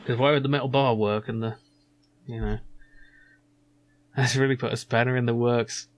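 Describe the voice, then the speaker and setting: A man's voice: mid-range voice, steady tone, moderate pace. A man giving casual let's play commentary, thinking aloud in a wry, musing way. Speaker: video game streamer.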